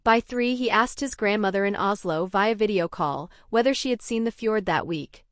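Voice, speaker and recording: A young adult woman from Norway, narrating her own story in a measured, even scripted way. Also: medium pitch, dry recording, studio quality.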